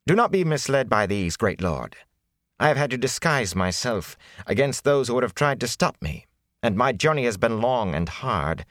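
A man voicing a filthy, cringing male beggar who whines and then turns smooth, unctuous and haughty. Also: on the low side, expressive, a little slow, a bit bright, no room sound, clean studio sound, pitched above the performer's own voice. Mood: oily.

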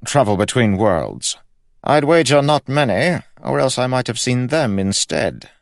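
A man's voice: medium pitch, big pitch swings, unhurried, creaky, dry recording, clean studio sound, voice pushed up. A man voicing a pompous, sly, greedy king of England in an audiobook, with an imperious, droll delivery. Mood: probing, covetous. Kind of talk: audiobook character acting.

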